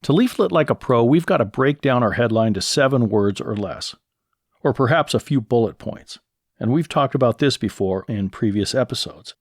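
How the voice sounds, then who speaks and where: A man's voice: medium pitch, animated, normal speed, no room sound. American man hosting a dry-sounding instructional podcast. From lives in California. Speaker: podcast host. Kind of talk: solo podcast talk, scripted instructional narration.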